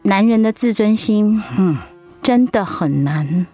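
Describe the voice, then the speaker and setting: A woman's voice: mid-range voice, expressive, slow, darkish tone, faint music behind. A woman acting a sympathetic, curious listener in a conversational radio drama.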